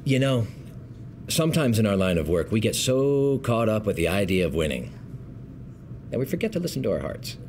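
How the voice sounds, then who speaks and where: A low, animated, smooth law partner. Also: male voice, normal speed, long pauses, background noise. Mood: smug. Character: smooth, condescending male law firm partner. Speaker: actor at a script table read. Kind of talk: acted script read at a table.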